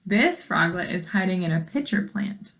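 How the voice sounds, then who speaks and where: A woman teacher reading a book aloud to young children, deliberately, with explanations and questions for her listeners. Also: lowish voice, lively intonation, fairly bright tone. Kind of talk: read-aloud lesson with commentary and questions.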